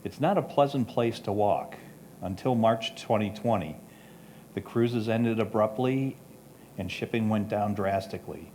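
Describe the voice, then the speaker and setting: A man's voice: lowish voice, a little slow, mellow. A man reading prepared photo comments aloud at a photography club presentation. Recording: faint background noise.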